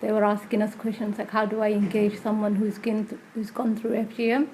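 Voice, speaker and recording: A woman's voice: mid-range voice, little pitch movement, fairly quick, warm tone, a little hiss or hum, low-quality recording. A woman who works as a community support worker, speaking hesitantly with frequent fillers and restarts in a recorded interview.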